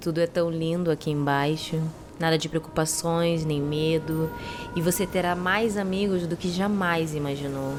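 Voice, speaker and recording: A woman playing a seductive, sassy mermaid in an audio drama, speaking in a soft, delicate, beguiling way. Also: deep voice, steady tone, moderate pace, music in the background, lightly acted. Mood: tender, seductive.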